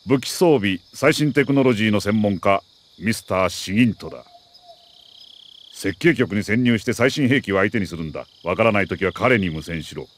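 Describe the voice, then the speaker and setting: An actor playing a middle-aged army major who briefs an agent in a crisp, authoritative, deliberate way in a video game. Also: male voice, on the low side, expressive, lightly acted. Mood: grave, commanding. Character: male military major, mission commander, precise and earnest. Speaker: mission commander briefing an agent.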